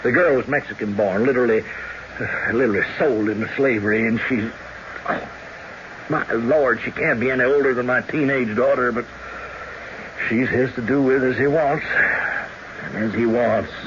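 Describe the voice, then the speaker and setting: An actor playing a humane middle-aged frontier doctor in a radio drama, speaking in a halting, earnest way. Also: male voice, mid-range voice, close to an everyday voice. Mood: anguished. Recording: noisy, dull radio recording.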